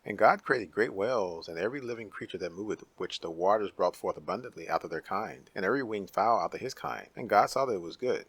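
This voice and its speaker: A low-voiced man with a husky edge narrates scripture dramatically. His delivery is solemn and declamatory, recorded close and dry. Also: animated, fast, few pauses, fairly bright tone, no room sound.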